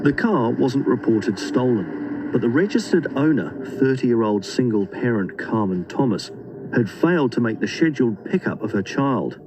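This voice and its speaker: A man reading a crime documentary narration in a measured, dramatic way. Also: mid-range voice, lively intonation, background noise. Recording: narration over music.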